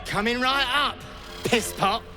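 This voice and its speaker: High-pitched, defiant servant. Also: male voice, slow, over music. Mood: sullen, defiant. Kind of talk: video game character acting.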